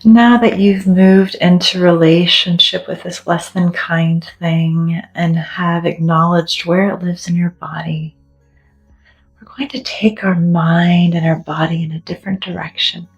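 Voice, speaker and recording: A woman leading a guided meditation in a gentle, soothing, deliberate way, leaving long pauses between phrases. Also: lowish voice, level tone, highs cut off. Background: soft background music.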